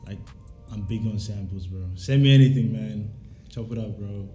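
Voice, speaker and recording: Laid-back young man, low mellow voice. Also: normal speed. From South Sudan, raised in Australia. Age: young adult. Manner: laid-back, slangy, reflective. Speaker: musician, rapper and producer being interviewed. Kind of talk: casual interview chat. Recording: roomy echo, faint music behind.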